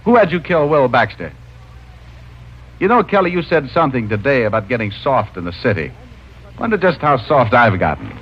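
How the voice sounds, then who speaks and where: An actor playing a breezy, wry private detective who both talks and narrates in a 1950s radio mystery. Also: male voice, medium pitch, sing-song, moderate pace, slightly rough. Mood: tough. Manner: wry, casual, hesitating with uh. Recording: muffled old radio recording with music.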